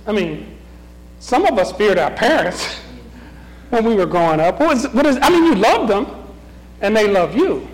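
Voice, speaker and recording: A middle-aged man leading a group Bible discussion, asking questions, restarting his sentences and often chuckling. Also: sits high. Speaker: Bible study leader. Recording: reverberant meeting room.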